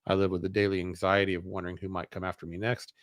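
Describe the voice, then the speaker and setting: A man's voice: low voice, level tone, fast talker, dry recording, clean studio sound. A man hosting a show, reading documents aloud and adding casual commentary with hesitant asides. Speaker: show host.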